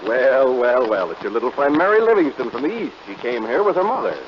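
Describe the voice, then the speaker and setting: A man playing a blustering, quick-tempered retired father in an old radio comedy. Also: mid-range voice, lively intonation, lightly acted. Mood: genial. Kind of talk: 1930s radio comedy acting. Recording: muffled, noisy vintage radio recording.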